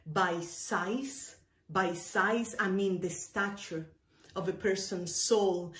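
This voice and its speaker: A woman's voice: mid-range voice, darkish tone. Emphatic Cuban-born woman preaching, roomy sound. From Cuba. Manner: emphatic, deliberate phrasing with repetition for weight. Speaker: minister. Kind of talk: sermon. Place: indoor room with bookshelves, speaking to camera. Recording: roomy, echoey indoor sound.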